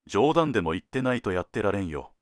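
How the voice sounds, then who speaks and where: Senior male detective, played by an actor: deep, animated pitch, laconic dry humor, crime drama, close dry sound. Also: dry recording. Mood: wry, businesslike. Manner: laconic, dry joking, deliberate phrasing. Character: senior male detective, wry, teasing, world-weary. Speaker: police detective at headquarters. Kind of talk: scripted detective audio drama.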